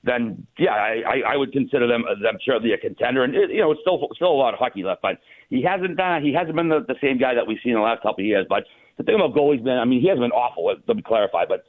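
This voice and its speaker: A man's voice: mid-range voice, expressive, fast talker, no room sound. A man hosting casual sports talk about hockey, rambling informally, heard over a tinny, narrow phone-like line.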